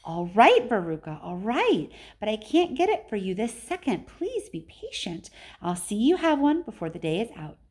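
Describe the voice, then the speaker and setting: Storybook role: indulgent dad, placating and pleading, lively pitch swings female reader. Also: moderate pace, natural voice. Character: placating, indulgent father of a spoiled girl.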